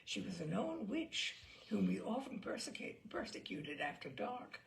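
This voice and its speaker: A woman reading a literary story aloud in an expressive, theatrical and deliberate way, with a gravelly, creaky voice. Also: mid-range voice.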